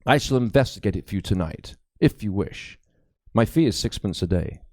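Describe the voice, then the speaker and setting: A man playing a cool, skeptical medieval investigator in an audiobook, speaking in a measured, halting, businesslike way. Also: on the low side, animated, darkish tone, dry recording, clean studio sound, voice pushed down. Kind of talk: dramatic audiobook character acting.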